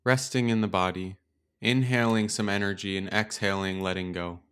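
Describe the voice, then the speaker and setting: A man leading a guided meditation as a teacher, speaking in a calm, gentle, unhurried way with pauses. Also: fairly low pitch, clear, resonant tone. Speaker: meditation teacher and podcast host. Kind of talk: guided meditation, slow and instructional.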